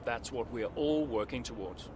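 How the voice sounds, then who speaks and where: A man acts out a veteran racing driver for a game cutscene, low in pitch and naturalistic. He comes across as weary, a little insecure and quick to defend himself. Clear ambient noise sits behind him. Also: close to an everyday voice. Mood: composed, guarded.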